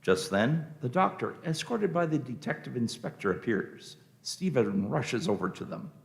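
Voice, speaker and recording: A man's voice: mid-range voice, lively intonation, normal speed, creaky. A man reading scripted dramatic narration as the narrator of a radio play.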